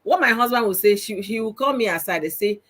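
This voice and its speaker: A Nigerian woman hosts a relaxed panel conversation at an everyday middle pitch. She lectures a little, driving points home and asking whether her listeners understand. Her talk is steady and earnest. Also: quick pace. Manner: preachy, asks "you understand?" often. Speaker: host. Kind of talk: casual panel chat.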